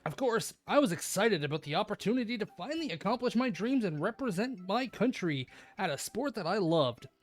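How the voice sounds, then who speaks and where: The animated voice you would hear on a late-night game stream, reading story text with crude asides while music plays. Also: medium pitch, brisk, few pauses. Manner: crude joking, laughs at own ad-libs. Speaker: streamer reading a visual novel aloud. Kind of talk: live comedic read-along with ad-libs.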